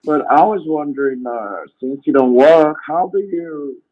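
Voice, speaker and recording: Guy on a crackly phone call, lots of uh's, voice trails off soft. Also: a little high, a little slow. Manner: hesitant, many uh fillers, soft trailing. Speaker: scammer on a voice call. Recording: tinny phone line.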